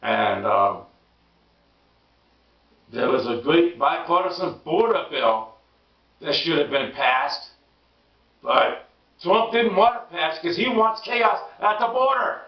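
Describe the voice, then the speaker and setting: A hoarse man who stammers and repeats words, giving an emphatic casual political monologue. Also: sing-song, takes it slowly, long pauses. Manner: emphatic, rising pitch when indignant. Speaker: political commentary vlogger. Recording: roomy distant lo-fi sound.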